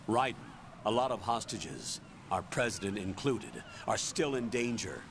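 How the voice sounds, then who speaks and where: An actor playing a stern colonel in a video game, giving a measured, authoritative briefing to his agent. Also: mid-range voice, creaky, noisy background. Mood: serious, commanding.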